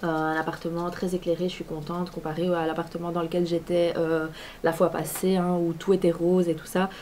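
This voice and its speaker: Woman, 20s, lowish voice, chatty vlogger, lots of hesitations, light background hiss. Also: little pitch movement, brisk, dark tone. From Belgium. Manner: chatty, many fillers and restarts. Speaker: beauty vlogger. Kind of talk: casual talk to camera. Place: apartment at home.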